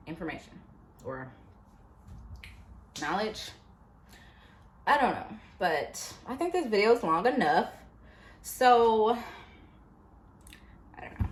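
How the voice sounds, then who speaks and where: A woman in her late twenties talking to her YouTube viewers in a casual, animated, chatty way, full of fillers. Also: lowish voice, a little hiss or hum. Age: late 20s. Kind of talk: casual motivational vlog. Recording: echoey room, faint ambience.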